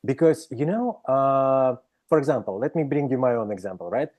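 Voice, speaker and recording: Russian-accented man, mellow and hesitant. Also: medium pitch, expressive, moderate pace. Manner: hesitant, frequent "right?" tags and restarts. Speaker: psychologist guest. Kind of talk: interview conversation.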